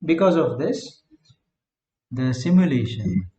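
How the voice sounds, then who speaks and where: A man lecturing a class who often checks in with "right?", repeats words and dictates notes slowly. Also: medium pitch, sing-song, warm tone. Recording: echoey classroom, dull lo-fi sound.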